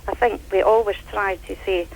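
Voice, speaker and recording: A woman's voice: mid-range voice, steady tone, normal speed, vocal fry, a little hiss or hum, poor audio. An older Scottish woman calling in, telling stories in a chatty, laughing way. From Scotland. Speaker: caller. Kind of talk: phone-in chat.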